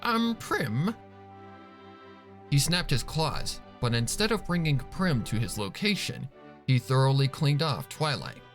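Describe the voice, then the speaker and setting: A man narrating a dark story from a script in a dramatic reading style. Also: medium pitch, lively intonation, moderate pace, faint music behind. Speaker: fan fiction reader.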